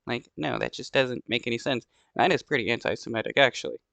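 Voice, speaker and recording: Smooth-voiced man, halting commentary. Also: mid-range voice, bright, forceful tone, no echo. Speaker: commentator reacting to news footage. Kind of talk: casual off-the-cuff political commentary.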